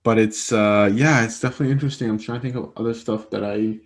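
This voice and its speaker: A young man from Egypt, an Arabic native speaker, with a fairly low voice. He answers interview questions in a relaxed, meandering way. He repeats himself and fills gaps with "like" and "um" as he thinks. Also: fast talker. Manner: rambling, many fillers and restarts. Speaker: interviewee, communication specialist.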